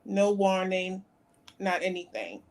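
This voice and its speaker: A woman in her fifties, a guest on a talk show, recounting events in a plain, soft-spoken way. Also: mid-range voice, sing-song, slow pace. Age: 50s. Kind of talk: interview conversation.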